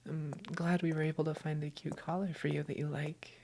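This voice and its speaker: Woman playing a doting girlfriend, low-pitched, affectionate, teasing, reassuring, intimate roleplay audio. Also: flat delivery, fairly quick, vocal fry, mellow, slight background noise. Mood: tender.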